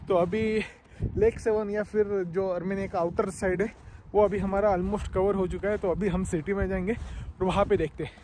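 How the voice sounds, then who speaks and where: Guy in his 30s vlogging on his phone, kinda high mellow voice, lots of umms, a bit of noise behind. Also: steady tone, normal speed. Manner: chatty, explaining sights to viewers, many fillers. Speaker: travel vlogger. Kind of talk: casual travel vlog narration. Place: outdoors by a lake, then an underground cave museum. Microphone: phone camera at arm's length.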